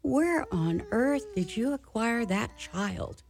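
A woman's voice: fairly high pitch, big pitch swings, gravelly, darkish tone, heavily acted. A woman playing a blunt, teasing nun with a style in a radio play. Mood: wry, teasing. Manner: dry teasing, wry drawn-out lines. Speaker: actor in a community radio play.

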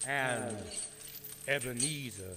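An actor playing a tormented, mournful ghost in a radio play, with solemn, drawn-out, wailing declamation. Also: male voice, medium pitch, close to an everyday voice. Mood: grave warning. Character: tormented ghost of Scrooge's dead business partner, mournful, warning. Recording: roomy, long reverb, clearly noisy, rattling metal.

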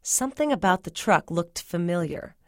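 A woman reading a first-person short story aloud in a steady, measured way. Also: lowish voice, dry recording, studio quality. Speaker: story reader.

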